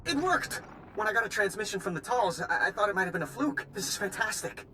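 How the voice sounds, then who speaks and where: Anxious young-sounding lieutenant, echoing hall. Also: male voice, high-pitched, big pitch swings, fast talker, bright, forceful tone, full character voice. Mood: relieved, elated. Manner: urgent, faltering, pleading. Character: anxious, earnest male army lieutenant at besieged outpost. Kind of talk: scripted video game cutscene acting. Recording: long reverberant hall, faint background noise.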